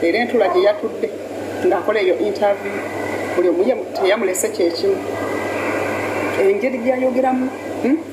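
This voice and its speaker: A woman gossip host talks through celebrity news in a chatty, opinionated way, humming and chuckling between points. Her mid-pitched voice sits in an echoey room with loud noise behind it. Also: fairly quick, long pauses. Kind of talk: casual gossip commentary.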